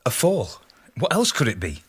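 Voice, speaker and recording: A man's voice: mid-range voice, wildly swooping pitch, moderate pace, a bit bright, husky, heavily acted. An actor playing a pragmatic, weary and exasperated male scientist in a radio drama. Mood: resigned, exasperated. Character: exasperated, pragmatic male colleague on research team.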